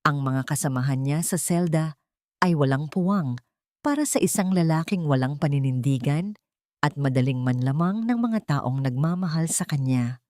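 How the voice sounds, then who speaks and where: A woman reading a scripted dramatic audio story as narrator, in a measured, emotive, literary way. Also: deep voice, expressive, a little mellow, no echo, clean studio sound.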